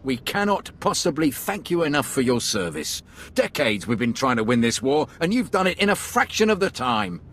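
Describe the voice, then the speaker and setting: Lively, fawning Imperial captain. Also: male voice, on the high side, moderate pace, heavily acted. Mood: jubilant. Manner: effusive, obsequious, upbeat. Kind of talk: scripted game cutscene acting.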